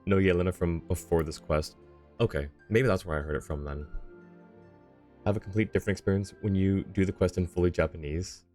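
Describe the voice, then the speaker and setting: A man's voice: deep voice, brisk, warm tone. A man hosting a livestream, chatting casually and dropping dry, joking asides as he reacts to a game. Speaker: livestream host. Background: game music.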